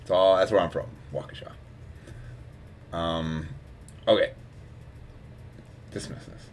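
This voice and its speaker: A man's voice: low-pitched, steady tone, slow pace, a little hiss or hum. A young man from Wisconsin, chatting casually on a live stream, rambling and laughing as his volume rises and drops. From Wisconsin, USA. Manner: rambling, laughs often, swings from loud to mumbled.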